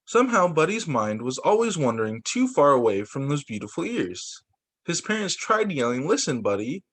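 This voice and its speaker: A man's voice: on the high side, big pitch swings, moderate pace, fairly bright tone. A man reading a children's story aloud as a teacher, expressively, with exclamations and little asides of his own. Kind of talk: animated picture-book read-aloud with asides.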